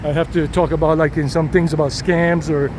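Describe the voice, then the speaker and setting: A man's voice: fairly high pitch, little pitch movement, fairly quick. Chatty man walking a busy street. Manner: chatty and rambling, switching into Spanish with locals. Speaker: walking tour vlogger. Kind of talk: casual walking tour narration. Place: city street outdoors. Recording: outdoor street noise. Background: traffic and street noise.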